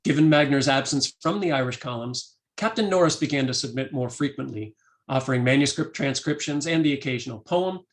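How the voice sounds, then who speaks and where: Man reading a prepared academic talk. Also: medium pitch. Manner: measured, reads quotations aloud. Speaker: academic lecturer.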